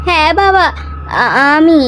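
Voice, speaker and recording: A woman's voice: extremely high pitch, a little slow, fairly bright tone, slight background noise. A woman voicing the sorrowful, plaintive ghost of a murdered villager in a horror story. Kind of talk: dramatic horror story acting.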